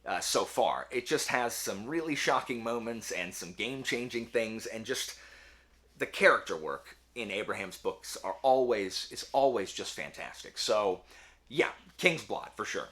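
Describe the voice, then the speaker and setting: A man talking casually as the host of a book review channel, with a slangy, self-deprecating, animated manner. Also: lowish voice, unhurried. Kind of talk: casual chatty monologue to camera.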